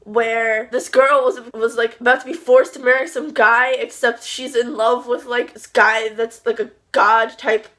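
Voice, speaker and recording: A young woman with a fairly high voice chats through a casual book review. She gushes and rambles, full of "like" and exasperated asides. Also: little pitch movement, a little slow, hardly any pauses, fairly bright tone. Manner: chatty, gushing and rambling, with frequent "like" and exasperated asides.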